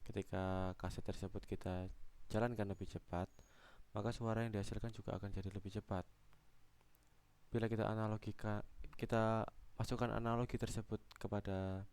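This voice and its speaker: A young adult man giving an explanatory student presentation, halting and going step by step. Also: lowish voice, flat delivery, a little mellow, clear, resonant tone.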